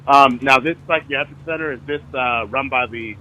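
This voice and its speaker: Bright, forceful American man on a lo-fi call. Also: medium pitch, normal speed. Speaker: caller, citizen activist. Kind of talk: recorded phone conversation. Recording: dull lo-fi phone call recording.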